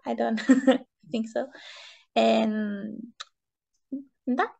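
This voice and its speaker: A woman from Chile with a Chilean Spanish accent, giving interview answers in halting non-native English and searching for words. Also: on the high side, steady tone, vocal fry, a little mellow. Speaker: interview guest, economist and feminist.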